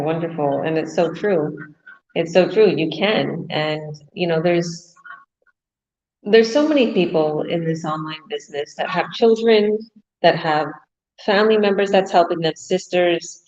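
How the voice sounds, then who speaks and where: Brief: female host, lower register, upbeat and chatty, dull lo-fi recording. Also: a little slow, darkish tone. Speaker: host and business coach. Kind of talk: casual online talk show.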